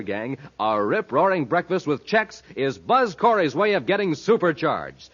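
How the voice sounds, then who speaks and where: A man's voice: a little high, fairly bright tone. A man announcing in punchy, emphatic, folksy 1950s radio style. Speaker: announcer and narrator. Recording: dull old radio recording.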